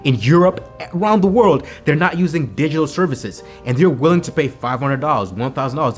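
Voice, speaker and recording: Fast-talking Kenyan man vlogging. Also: mid-range voice. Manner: energetic, repeats himself, says "right?" to the viewers. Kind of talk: motivational business vlog talk. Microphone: camera mic on a home video set.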